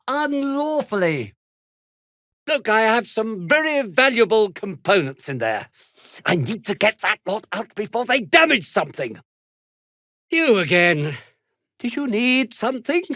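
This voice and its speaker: A man's voice: high-pitched, pushed and bright, no echo, clean studio sound, full character voice. A British man playing a fussy, self-important and slippery toy maker in a video game, speaking in a haughty, theatrical, wordy way. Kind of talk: dramatic video game dialogue.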